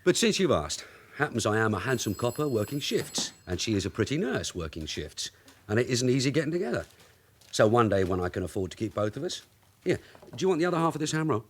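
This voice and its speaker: Shrewd veteran London detective sergeant, radio drama; dry, brisk, unfussy, with animated swings of pitch. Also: male voice, slightly rough. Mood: wry, bantering. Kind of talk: naturalistic British radio drama acting.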